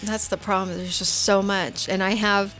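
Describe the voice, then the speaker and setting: A woman co-hosts a podcast in a loose, casual chat. Her pitch is average for a woman, and she has a giggly way of talking, often laughing through her words. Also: flat delivery, moderate pace, fairly bright tone, faint music behind, low-quality recording. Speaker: podcast co-host.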